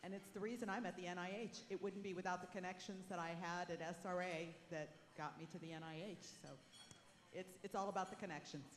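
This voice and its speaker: Earnest woman with a lower voice, in a reverberant hall. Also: fairly even intonation, fast talker. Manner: earnest, with small fillers. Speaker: association member. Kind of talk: answering a question on stage. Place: conference hall. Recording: echoey hall, faint noise.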